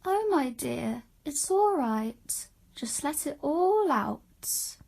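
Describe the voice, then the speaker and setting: A woman playing a motherly society lady who soothes in a drawn-out coo, in a fan audio drama. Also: sits high, very animated, gravelly, a little mellow, heavily acted. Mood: consoling.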